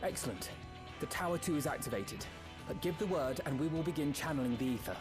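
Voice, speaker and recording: Man as a fast-talking, dutiful engineer. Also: on the high side, animated, soft background music, lightly acted. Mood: businesslike. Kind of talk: fantasy video game voice acting.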